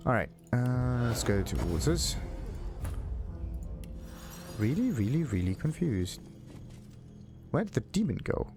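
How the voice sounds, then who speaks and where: A low-pitched man hosts a let's play with casual commentary. He thinks aloud haltingly and sounds puzzled, with faint game music behind him.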